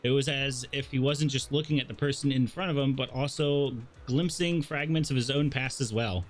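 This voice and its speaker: A man's voice: mid-range voice, steady tone, normal speed. A man livestreaming a story game, reading its dialogue aloud with casual asides and the odd stumble. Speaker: streamer playing a story game.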